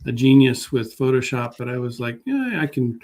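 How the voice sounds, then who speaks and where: A man talking casually as an interview guest, halting and unassuming as he thinks aloud. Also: mid-range voice, sing-song, darkish tone. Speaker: guest public historian.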